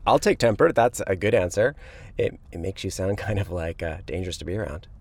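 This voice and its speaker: A man's voice: deep voice, animated, fairly quick. A man hosting an interview show, putting questions in a casual, conversational way. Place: inside a tour van.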